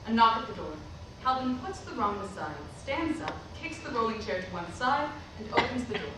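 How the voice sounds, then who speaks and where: A woman's voice: a little high, sing-song, fairly quick. A woman reading out stage directions as scripted narration at a staged play reading. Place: staged reading filmed in a roomy venue. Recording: echoey room, far mic, loud noise.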